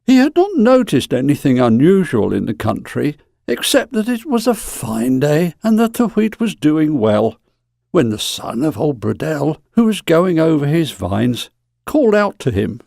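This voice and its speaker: A man's voice: a little high, very animated, unhurried, gravelly, darkish tone, no echo, clean studio sound. A man reading scripted story narration in a dramatic storytelling style.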